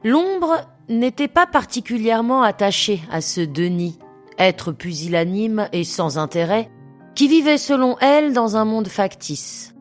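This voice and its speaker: A woman narrating a scripted literary audio drama in a formal, measured storytelling manner. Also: a little high, lively intonation, faint music behind.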